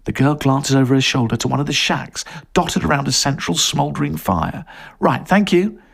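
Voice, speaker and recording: A man's voice: mid-range voice, fairly quick, gravelly, fairly bright tone, husky. A man reading a short story aloud as scripted narration.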